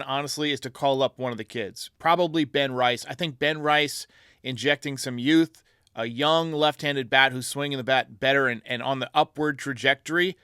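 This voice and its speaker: A male sports talk host with a bright, forceful voice pitched a little high. He gives opinionated baseball commentary in a dry, close recording. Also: smooth, no echo.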